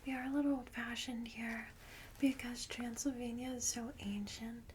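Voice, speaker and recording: Whispering woman, gentle ASMR vampire guide. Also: on the high side, steady tone, heavy background noise. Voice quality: breathy unvoiced whisper. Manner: gentle, soothing, slow reassurance. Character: friendly, caring old-fashioned female vampire guide.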